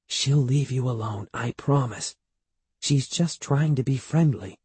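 A man's voice: fairly high pitch, animated, slow, warm tone, breathy edge, dry recording, pitched above the performer's own voice, exaggerated voice. A man voicing a young boy's mother in a storybook, coaxing and reassuring yet firm. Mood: hushed reassurance.

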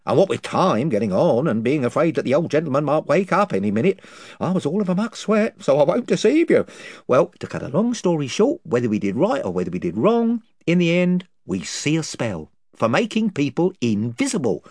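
A man's voice: on the high side, very animated, brisk, darkish tone, dry recording, studio quality, voice pushed up. A man voicing a dim, long-winded male creature chief who talks in a folksy, self-satisfied way. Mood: rambling.

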